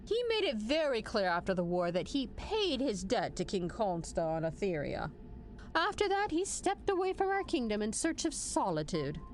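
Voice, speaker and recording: A woman's voice: fairly high pitch, huge pitch swings, moderate pace, slight background noise. A woman playing a stern military general in an audio drama, formal and authoritative with a dry, wry edge. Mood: grave, deliberate. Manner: formal, authoritative briefing delivery. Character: stern, commanding female military general, measured and dryly wry. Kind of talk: scripted audio drama acting.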